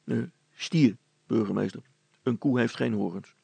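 A man's voice: medium pitch, a little slow, vocal fry, dark tone, slightly rough, no room sound, exaggerated voice. A man voicing a down-to-earth, reluctant, drily cheeky village helper in a storybook, with a plain grumbling manner. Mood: plainly contrary. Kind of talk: storybook character voice.

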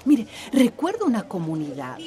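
A woman's voice: medium pitch, huge pitch swings, moderate pace, dark tone. A woman playing a worldly, sardonic and secretive middle-aged activist in a radio drama. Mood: scornful.

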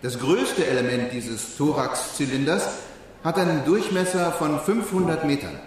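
An actor playing a methodical spaceship engineer in a radio drama, explaining in a precise manner. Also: male voice, a little high, animated, a little slow, slight background noise. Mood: explanatory. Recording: very echoey hall, far from mic.

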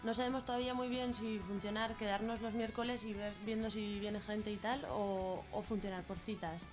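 Music is clearly heard behind a woman with a Peninsular Spanish accent. Her voice sits at an average pitch, and she talks informally, like a guest explaining a project on the radio. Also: fairly even intonation, normal speed. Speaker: activist guest on a radio programme. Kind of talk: informal spoken interview. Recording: music audible under the voice.

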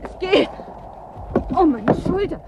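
A woman's voice: very high voice, lively intonation, takes it slowly, heavy background noise, exaggerated voice. Bold, guarded woman agent. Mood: strained, urgent. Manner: needling, guarded. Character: bold, evasive woman agent, sharp-tongued and composed. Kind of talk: German radio play acting.

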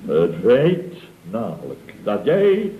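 Pompous, swooping contractor boss. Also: male voice, medium pitch, huge pitch swings. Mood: sarcastic. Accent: Netherlands Dutch. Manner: pompous, drawn-out, mock-casual lecturing. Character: pompous middle-aged building contractor boss, blustery and sarcastic. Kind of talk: 1960s-70s radio comedy acting. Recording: roomy, muffled, hissy old radio recording.

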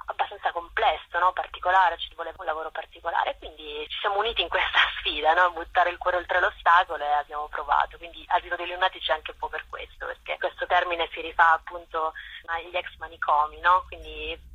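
A woman's voice: lowish voice, fairly even intonation, a little fast, bright, forceful tone, husky, dry recording, lo-fi sound. A woman theatre director answering interview questions thoughtfully, often restarting her sentences.

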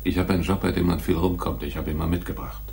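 A man's voice: deep voice, steady tone, darkish tone, a little hiss or hum, close to an everyday voice. An actor playing a big, tough, shady man in a noir radio play, skeptical and sardonic as he bargains. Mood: businesslike. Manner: sardonic, wary, deliberate. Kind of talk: 1980s German radio play, noir dialogue.

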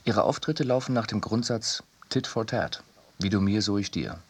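A man reading a scripted literary essay for radio, measured and articulate. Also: on the low side, husky. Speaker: reader in a radio production.

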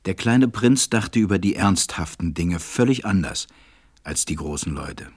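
A man narrating a storybook radio play with a husky voice and a measured, reflective manner. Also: sits low, animated, no echo, heavily acted.

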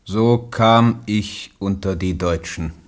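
An actor playing a tormented, proud, half-mad poet in a radio drama, with solemn theatrical declamation. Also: male voice, low voice, slow. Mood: formal, withdrawn.

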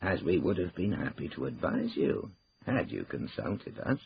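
Guy doing stuffy stern old-school lawyer for an audiobook, disapproving, formal, very swoopy pitch, bit muffled. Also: very animated, creaky, husky, no room sound, full character voice. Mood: grave, reproving. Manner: formal, deliberate, pompous. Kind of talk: acted audiobook dialogue.

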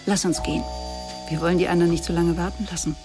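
A woman's voice: lowish voice, steady tone, quick pace, over music, natural voice. An actress playing an elegant middle-aged woman, composed and tactful, in a radio drama.

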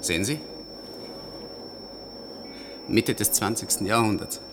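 An actor in a German radio play voicing a rational, exasperated young scientist who explains things emphatically. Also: male voice, on the low side, takes it slowly, noisy background. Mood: explaining. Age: young adult.

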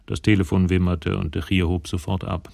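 A man narrating a radio crime play from a script in a measured, literary, matter-of-fact way. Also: low-pitched, little pitch movement, vocal fry, darkish tone, no echo, clean studio sound. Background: music.

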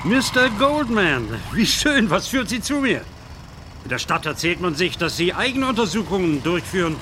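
An actor playing an older, falsely jovial police chief in a German radio crime drama, effusive in manner, outdoors. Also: male voice, sits high, big pitch swings, moderate pace, sharp tone, slight background noise, full character voice.

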